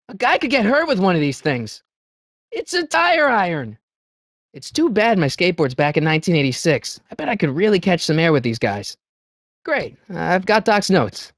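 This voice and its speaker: A man's voice: high-pitched, no room sound, studio quality. A male actor playing an earnest, wisecracking teenage boy in a video game, with a youthful voice and a hesitant, slangy delivery. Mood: musing. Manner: hesitant, stammering asides, wry slangy delivery. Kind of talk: video game character lines.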